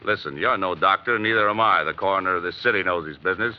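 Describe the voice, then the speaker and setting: Actor as a gruff, deep-voiced police chief. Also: male voice, quick pace, natural voice. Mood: suspicious, irritated. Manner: curt, authoritative, impatient. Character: gruff, suspicious male police chief of a seaside town. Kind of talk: 1940s radio drama acting. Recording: muffled, hissy old radio recording.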